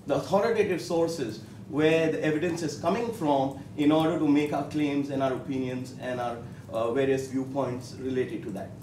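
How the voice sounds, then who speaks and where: Indian accent guy, professor on a panel, slowish with lots of uh's, echoey hall, mic far off. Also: fairly high pitch, a little mellow, a little hiss or hum. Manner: measured, many fillers and restarts. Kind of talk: panel discussion answer.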